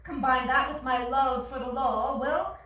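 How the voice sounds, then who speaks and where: A woman on stage playing a brisk, snobbish, money-minded attorney on a date, heard from far off in a very echoey theatre. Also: high voice, fairly even intonation, a bit bright. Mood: polite interest. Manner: brisk, blunt, condescending. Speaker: actor in a staged reading. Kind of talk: stage dialogue. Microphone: far audience camera. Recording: very echoey theatre, distant mic, faint noise.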